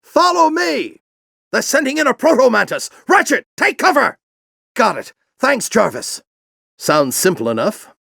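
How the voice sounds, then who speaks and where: A man playing a grizzled older alien warrior general in a video game, with a gruff, commanding, theatrical delivery and a fanatical intensity. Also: very high-pitched, sing-song, unhurried, pushed and bright, no echo, clean studio sound, full character voice. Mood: urgent. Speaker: military commander and mentor. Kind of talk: video game voice acting, combat barks and dramatic speeches.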